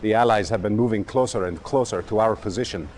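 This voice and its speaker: An actor playing a brisk Soviet field officer, giving a formal, emphatic military briefing in a video game. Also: male voice, fairly low pitch, a little mellow, a little hiss or hum, lightly acted. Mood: brisk, resolute.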